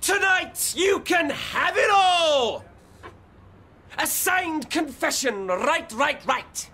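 An actor playing a whining, violent fourteen-year-old British gang leader in a radio drama, talking in florid teen slang. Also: male voice, very high voice, animated, drawn-out words, sharp tone, raised voice, exaggerated voice. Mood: defiant shouting. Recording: noisy radio drama sound scene.

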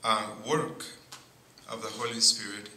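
Slow, bright-toned preaching priest in church. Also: male voice, fairly low pitch, level tone. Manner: slow, deliberate preaching with pauses and frequent "see". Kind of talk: sermon. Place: church sanctuary at a pulpit. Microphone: boom mic at pulpit. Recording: echoey church with faint noise.

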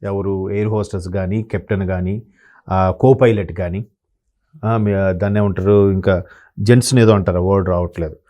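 A man speaking conversational commentary as an analyst guest, hesitant and often pausing to search for words. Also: low-pitched, fairly even intonation, mellow. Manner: hesitant, many fillers and word-searching pauses.

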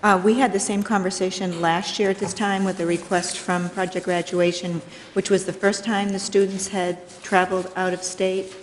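A woman's voice: mid-range voice, level tone, moderate pace, few pauses. A middle-aged woman speaking as a board member in a meeting discussion. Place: indoor council chamber. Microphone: gooseneck microphone on dais. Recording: reverberant meeting hall, faint noise.

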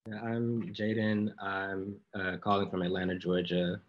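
A man from Texas introducing himself on a video call, soft-spoken and easygoing. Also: low-pitched, flat delivery, measured pace, dull audio. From Texas City, Texas. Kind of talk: casual self-introduction.

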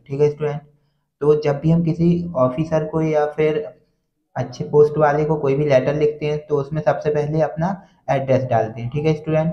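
An Indian man giving an instructional lesson to students as a coaching teacher, reading phrases slowly and then explaining them. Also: a little high. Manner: repeats a check-in phrase to students. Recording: dull, roomy echoing sound.

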